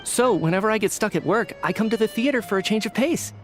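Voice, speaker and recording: A man's voice: high voice, expressive, quick pace, a bit bright. A man voicing an earnest, flustered researcher in a video game, hesitant and prone to stammering. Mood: apologetic, candid. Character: flustered, earnest male researcher, an apologetic theater fan. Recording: music under the voice.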